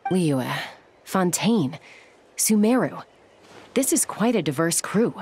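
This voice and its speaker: A woman's voice: lowish voice, sing-song, slow pace, darkish tone, a little hiss or hum, heavily acted. A woman playing a cool, observant adventurer in a video game. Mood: curious.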